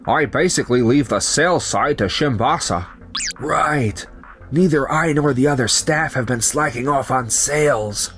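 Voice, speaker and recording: Here is a man performing a young company man in a dramatized manga story. He explains things in scripted, conversational lines at a middle pitch. Music plays behind the voice. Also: a little slow, fairly bright tone, natural voice. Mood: earnest, explanatory. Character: betrayed young company founder, calm and resigned, and his coworkers. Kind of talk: manga dub acting and narration. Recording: music under the voice.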